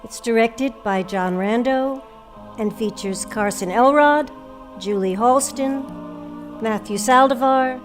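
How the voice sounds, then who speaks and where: A woman's voice: fairly high pitch, slow. A woman hosting a podcast, reading scripted announcements and introductions. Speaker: podcast host. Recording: music behind the voice. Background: music.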